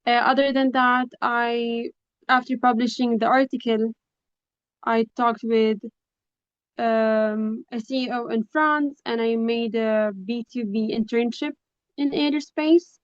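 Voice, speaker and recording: A young woman introduces herself as a webinar guest. Her pitch is fairly high and stays very even. The room adds no echo, though the call audio is slightly dull. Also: slow pace, clear, resonant tone. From Lebanon. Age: young adult. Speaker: guest speaker, project coordinator. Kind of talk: informal self-introduction at a webinar. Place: online video call. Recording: dry but dull, lo-fi video call.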